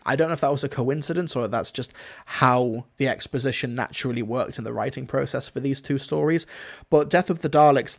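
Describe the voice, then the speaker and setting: A man reviews off the cuff for a livestream. His voice sits at an average pitch, and he rambles along with clear enthusiasm.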